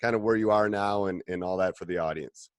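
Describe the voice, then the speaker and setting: A man hosting a coaching podcast in a low voice. He is eager and welcoming and drops in "um" and "you know" as he talks. The sound is dry and close. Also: fairly quick, no echo. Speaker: podcast host. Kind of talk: podcast hosting and sponsor reads.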